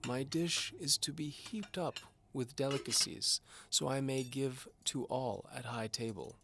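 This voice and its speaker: A man's voice: medium pitch, measured pace, gravelly, background noise, voice pushed up. A man voicing a doddering, pompous old duke and amateur alchemist in an audio drama, fussy and formal. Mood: lofty. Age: older. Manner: pompous, formal, hesitant blustering. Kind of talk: audio drama character acting.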